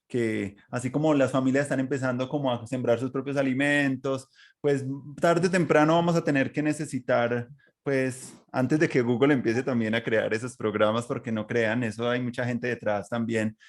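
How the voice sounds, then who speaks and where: A man's voice: medium pitch, steady tone, fairly quick. A man hosting a reflective podcast conversation in long, winding sentences with repeated words. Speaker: podcast host.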